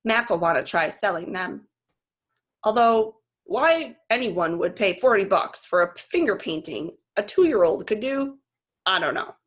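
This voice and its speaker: A woman's voice: mid-range voice, animated, measured pace. A woman voicing a blunt, dismissive male janitor in a storybook, with a skeptical, emphatic delivery.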